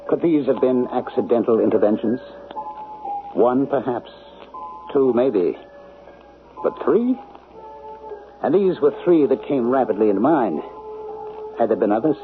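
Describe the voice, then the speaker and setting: A man's voice: mid-range voice, lively intonation, a little slow, pauses often, music in the background, lightly acted. A man playing a brooding, suspicious writer. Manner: introspective, questioning. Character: reflective male writer, uneasy and suspicious. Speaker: narrator and lead character. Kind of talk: 1970s radio drama acting. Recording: tinny old radio broadcast with faint hiss.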